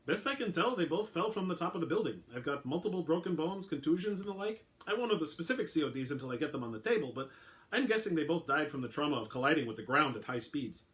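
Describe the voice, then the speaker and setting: A man's voice: on the high side, animated, fast, fairly bright tone. A man voicing a matter-of-fact, clinical medical examiner in a dramatic crime story reading.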